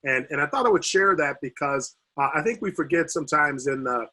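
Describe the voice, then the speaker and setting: A man on a video call whose voice is worn and husky, as if he is losing it. He talks haltingly, with frequent fillers and restarts. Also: on the high side, lively intonation, pushed and bright. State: losing his voice. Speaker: university recreation administrator. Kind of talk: video call panel remarks.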